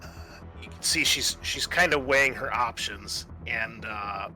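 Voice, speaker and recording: A man narrating a tabletop roleplaying game as its game master, halting as he thinks aloud. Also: medium pitch, pushed and bright, faint music behind, rough recording.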